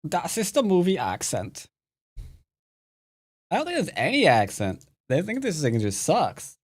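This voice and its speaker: A man's voice: a little high, huge pitch swings, measured pace. A man from the United States commenting live on his own Go game, playful and teasing toward his opponent. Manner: playful trash talk. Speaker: streamer and Go teacher.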